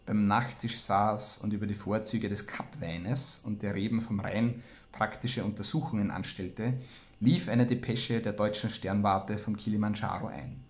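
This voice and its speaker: A man hosts a relaxed reading and discussion. His voice sits fairly low and has a soft, dark tone. The room rings noticeably around him. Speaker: host. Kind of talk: reading aloud and casual commentary. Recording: roomy, echoey room sound.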